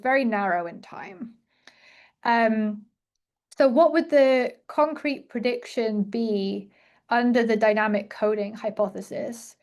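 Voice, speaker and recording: Young female academic, slightly high voice, chatty Q&A, lots of restarts. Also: darkish tone. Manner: thinks aloud with frequent fillers and restarts. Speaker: invited speaker answering questions. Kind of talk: academic talk Q&A.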